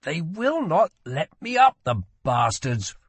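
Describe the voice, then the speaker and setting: Man voicing a petulant young prince, high-pitched. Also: sing-song, moderate pace, no echo. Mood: grumbling. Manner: scornful, dramatic, demanding. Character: petulant wounded young prince, playful yet guilt-ridden.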